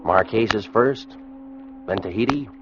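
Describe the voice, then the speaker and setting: An actor playing a weary American first mate of a sailing schooner in an old radio drama. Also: male voice, on the low side, unhurried. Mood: easygoing, wistful. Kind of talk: 1940s-50s radio drama acting, first-person narration and dialogue. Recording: muffled vintage radio recording, music behind.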